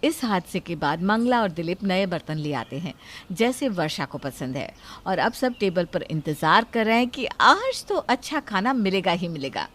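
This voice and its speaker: A woman's voice: fairly low pitch, very animated, moderate pace, a bit bright. A woman narrator reading a scripted moral story.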